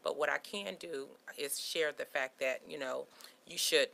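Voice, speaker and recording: A woman's voice: low voice, a little slow, gravelly, pushed and bright. A woman guest on a talk show offering practical advice.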